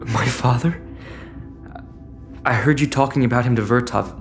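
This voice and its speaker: A man's voice: mid-range voice, moderate pace, faint music behind, natural voice. An actor playing a wounded, distrustful teenage boy in a sci-fi audio drama. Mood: searching.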